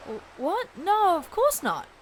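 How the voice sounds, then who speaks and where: A woman voicing an eager, slightly insecure young companion in an audio drama, expressive and a little hesitant. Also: very high voice, measured pace, slight background noise, exaggerated voice, low-quality recording. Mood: sheepish.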